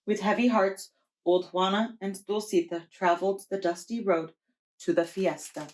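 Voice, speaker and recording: A woman preschool teacher reading a children's storybook aloud in a deliberate, expressive storytelling way. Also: medium pitch, a little hiss or hum, low-quality recording.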